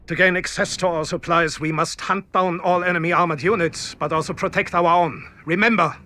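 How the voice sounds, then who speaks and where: War game casting: resolute panzer commander, higher-pitched and sharp, addressing his men. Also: fairly even intonation, brisk, a little hiss or hum. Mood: rousing, determined. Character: commanding German panzer officer rallying outnumbered troops. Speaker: military commander. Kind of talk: dramatic game cutscene speech.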